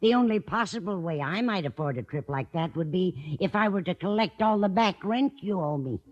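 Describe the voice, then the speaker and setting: A woman's voice: lowish voice, expressive, brisk, a little mellow, dull audio. An actress playing a kindly, scatterbrained older landlady in a 1950s radio sitcom, fussy and sweetly oblivious.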